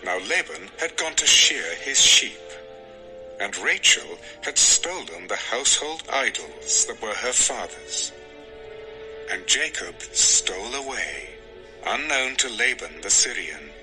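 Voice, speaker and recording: A man's voice: fairly low pitch, expressive, a little slow, low-quality recording. Male scripture narrator over music.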